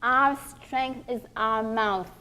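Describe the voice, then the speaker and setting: A woman teaching a religious class in a deliberate, emphatic way, pausing between short phrases and posing rhetorical questions. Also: on the high side, bright, forceful tone, clear, resonant tone.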